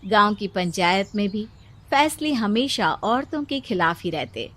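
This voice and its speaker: Calm woman narrating a storybook. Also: medium pitch, measured pace.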